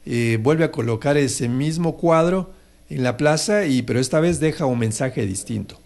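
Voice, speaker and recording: A man's voice: mid-range voice, normal speed, gravelly, darkish tone. A man hosting a radio talk show, telling a moral story with many fillers and restarts.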